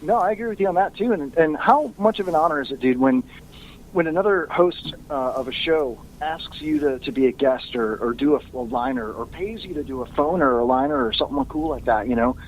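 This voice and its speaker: A man's voice: on the high side, lively intonation, a little fast, a little hiss or hum, rough recording. A man, a radio talk show host appearing as a guest, chatting casually with lots of fillers and frequent laughter. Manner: laid-back, laughs often, many fillers. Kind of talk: casual podcast banter.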